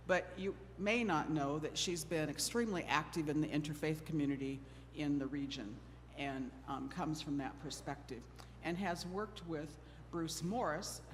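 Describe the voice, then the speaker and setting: Nervous chatty woman moderating in a hall. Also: low voice. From Oregon, USA. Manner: nervous, self-deprecating, chatty with laughs. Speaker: moderator of a panel discussion. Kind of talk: live panel moderation. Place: church hall. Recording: long hall reverb with faint noise.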